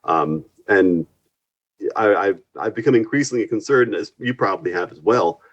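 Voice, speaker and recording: Low-voiced man, earnest talker. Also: normal speed, breathy edge, lo-fi sound. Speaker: university physics and astronomy instructor, guest. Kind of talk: conversational interview.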